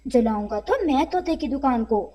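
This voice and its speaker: A cheating crow shopkeeper from a cartoon, voiced by a woman. He brags and defies in a high, animated voice, full of spite. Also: moderate pace, slightly rough, lo-fi sound. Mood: vengeful.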